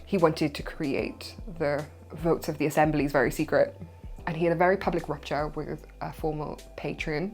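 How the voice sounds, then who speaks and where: Chatty Welsh woman, lowish voice. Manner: chatty and informal, with loose asides. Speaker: history channel host. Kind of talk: casual educational monologue.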